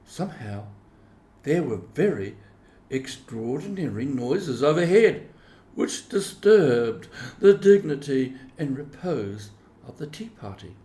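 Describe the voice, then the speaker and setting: A man narrates a children's storybook at a slow, deliberate pace. His pitch swings widely as he dramatises each phrase, and the room rings with echo. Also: very animated, mellow. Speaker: storybook reader. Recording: echoey room.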